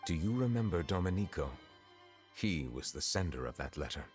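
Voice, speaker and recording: An actor playing a composed, high-ranking official in a video game, speaking in a formal, measured, courteous way. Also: male voice, animated. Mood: gentle, reassuring. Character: dignified, composed adult male official of high rank. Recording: game music behind.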